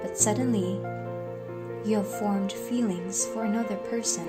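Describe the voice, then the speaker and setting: A woman's voice: medium pitch, steady tone, normal speed. A woman narrating and announcing a scripted radio drama in a dramatic storytelling style. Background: faint music.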